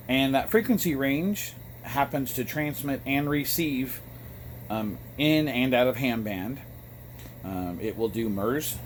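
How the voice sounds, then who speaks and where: Halting man explaining, noisy phone recording. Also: mid-range voice. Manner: halting, explanatory, apologetic asides. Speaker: amateur radio operator and vlogger. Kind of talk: informal product explainer to camera. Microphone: phone front camera. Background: two-way radio sounding in background.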